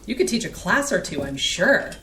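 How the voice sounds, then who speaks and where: An actress reading an effusive, flattering art director in a staged play reading. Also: female voice, mid-range voice, normal speed. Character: polished art director woman, gushing. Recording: echoey room, faint noise.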